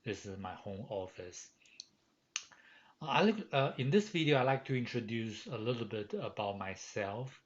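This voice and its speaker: A middle-aged man from Taiwan, a professor giving a course welcome lecture from his home office, with many fillers and pauses. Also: medium pitch, lively intonation. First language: Chinese. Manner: frequent hesitations and fillers, earnest. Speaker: university professor. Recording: dull lo-fi home recording.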